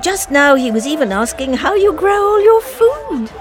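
A lively young companion. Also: female voice, sits high, normal speed, music in the background. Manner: bright, impatient, exclaiming. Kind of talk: audio drama acting.

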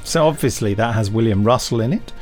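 A man's voice: medium pitch, a little fast, over music. A man co-hosting a podcast, presenting news and offers casually. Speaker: podcast co-host.

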